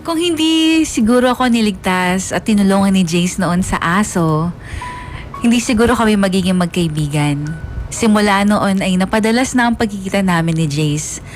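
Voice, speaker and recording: A woman's voice: mid-range voice, expressive, normal speed, natural voice. A woman playing a lovestruck narrator who recounts her school crush earnestly and wistfully, as a radio drama confession. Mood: fond. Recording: music under the voice.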